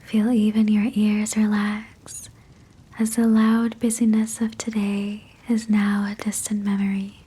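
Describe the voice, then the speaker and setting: A woman guiding a sleep meditation in a breathy whisper, soothing and gentle, with measured phrasing. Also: mid-range voice, monotone, rough recording. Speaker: sleep hypnosis guide.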